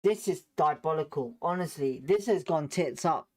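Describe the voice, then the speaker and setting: A man's voice: fairly high pitch, expressive, moderate pace. A man with a British accent giving informal live football commentary as a fan host, blunt, slangy and sweary in his reactions.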